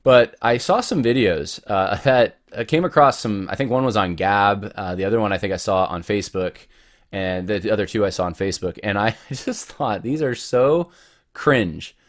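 A man's voice: on the low side, moderate pace. A man hosting his own podcast, talking casually and rambling with many restarts while he has a cold. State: has a cold, voice deeper than usual. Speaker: podcast host. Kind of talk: casual solo podcast talk.

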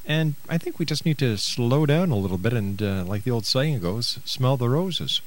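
A man's voice: mid-range voice, lively intonation, normal speed. A mature man hosting a radio interview in a conversational, encouraging and avuncular way.

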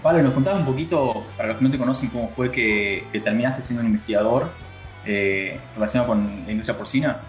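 A man hosts a podcast interview, his voice at an ordinary middle pitch. He asks friendly, measured questions. The room sounds echoey, with faint guitar music underneath. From Argentina. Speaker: podcast host.

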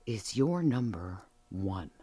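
An actress playing a cheeky, evasive burglary suspect in an audio drama, theatrical and teasing, swinging from meek to emphatic. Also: female voice, a bass voice, very animated, slow, mellow, slightly rough, heavily acted. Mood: sly, confident. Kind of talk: audio drama dialogue acting.

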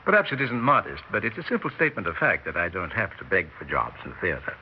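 A suave English thespian with a lowish voice that swoops extravagantly up and down. He is genteel, conceited and smooth-talking, heard on a muffled old radio drama recording. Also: sing-song, fast talker. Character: suave, conceited, tall English stage actor, a charmer. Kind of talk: 1960s American radio drama acting. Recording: dull vintage radio recording with hiss.

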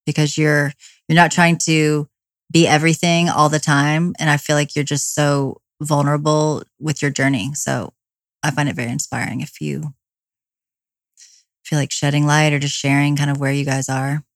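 A woman's voice: sits low, level tone, moderate pace, dry recording, clean studio sound. A woman hosting a casual conversational interview in a supportive, affirming way. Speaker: interviewer, host.

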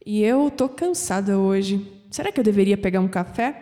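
A woman playing a young, clumsy, curious granddaughter in an audio drama, expressive, with a Brazilian accent. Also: mid-range voice, normal speed, in a large hall, lightly acted. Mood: tired, casual. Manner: expressive, musing aloud to herself.

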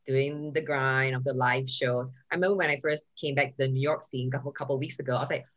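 A woman's voice: extremely low pitch, fairly even intonation, fast, dull audio. A woman from Singapore, a touring stand-up comedian, telling stories in a casual podcast chat. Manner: chatty storyteller, swearing and acting out quotes.

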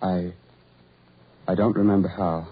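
An actor playing a lonely, self-loathing ugly farm labourer in a 1940s radio horror drama, with halting, repetitive, brooding delivery. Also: male voice, low voice, flat delivery, slightly rough. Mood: yearning. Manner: halting, repetitive, brooding, building to anguished outbursts. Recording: muffled, tinny 1940s radio recording.

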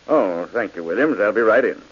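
A man's voice: on the low side, animated, brisk, slight background noise, lightly acted. An actor playing a smug, self-satisfied wealthy man in a 1940s radio drama, from a dull old broadcast.

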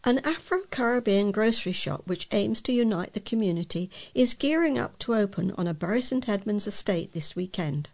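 Creaky woman reading local news. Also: mid-range voice, lively intonation, moderate pace, few pauses, darkish tone. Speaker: volunteer local news reader. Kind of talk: reading news articles aloud.

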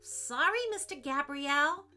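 A woman's voice: high-pitched, a little slow, pushed and bright, faint music behind, pitched above the performer's own voice, heavily acted. A woman voicing an earnest, polite young boy in a storybook reading. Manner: polite, calls others sir.

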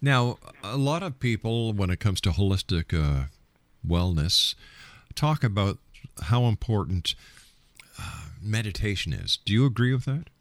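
A man with a deep voice hosts an interview. His intonation moves up and down freely, and he builds his questions in halting, deliberate pieces. The sound is dry and close. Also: lively intonation, dry recording. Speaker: radio interview host.

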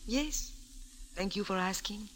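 A woman acting in a 1940s radio drama as a secretive, alluring young French wife, with a French accent and soft, deliberate, formal phrasing. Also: medium pitch, animated. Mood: inviting. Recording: vintage radio, faint background noise.

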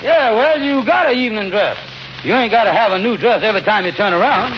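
An actor playing a scheming, glib husband with blustering, folksy, ungrammatical speech in an old radio comedy. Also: male voice, high-pitched, lively intonation, fast talker. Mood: blustering evasive. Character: scheming, glib husband, fast-talking buddy of dubious ideas. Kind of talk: 1940s-50s radio comedy acting. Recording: noisy, muffled old radio broadcast.

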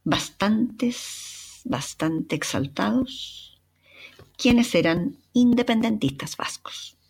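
A young Chilean woman with a Chilean accent and a breathy voice, dramatically telling a personal travel story as a podcast narrator. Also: medium pitch, extremely slow. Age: young adult. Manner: expressive, dramatic retelling with sighs and exclamations.

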